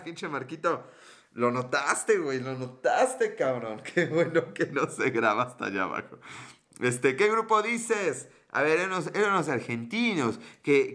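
Mexican male streamer, rambling and crude, bright forward tone. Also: a little high. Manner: joking, crude slang, rambling. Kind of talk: casual livestream chat, reading viewer comments.

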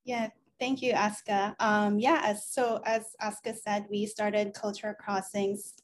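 A woman in her thirties from the Philippines, introducing herself casually in a measured way. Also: mid-range voice, level tone, slight background noise. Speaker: podcast co-host, journalist.

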